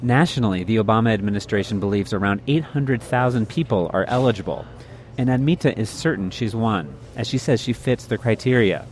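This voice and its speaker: Low-voiced male public radio host. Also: a little hiss or hum. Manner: measured, scripted delivery. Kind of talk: public radio reporting and interviewing. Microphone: studio microphone.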